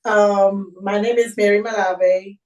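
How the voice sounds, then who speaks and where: Middle-aged woman guest, slow and casual, heard from some distance. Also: medium pitch, fairly even intonation, a little mellow. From Puerto Rico. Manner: casual, informal speech. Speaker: guest, community organizer. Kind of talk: casual interview answer. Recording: voice away from the mic.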